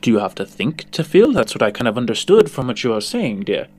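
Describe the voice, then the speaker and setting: A man's voice: medium pitch, very animated, a little fast. A man voicing a smug, amoral information slicer in a tabletop game, genteel and condescending in manner. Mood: smugly debating. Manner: genteel, condescending, calls listener dear and darling.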